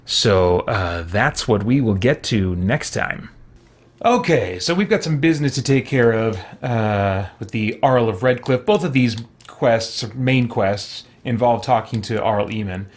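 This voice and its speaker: A man's voice: fairly low pitch, animated, measured pace. A man giving casual gameplay commentary and reading bits of quest text aloud. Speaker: gaming channel host.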